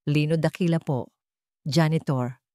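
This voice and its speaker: Humble hospital janitor played by a female narrator, timid, respectful, soft-spoken, storybook drama, dry sound. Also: low-pitched, fairly even intonation, unhurried, no echo.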